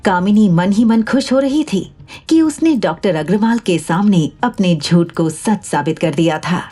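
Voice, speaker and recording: A female narrator tells a scripted audio drama. Her voice is dark, mellow and husky, with faint music playing behind. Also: medium pitch, expressive, measured pace.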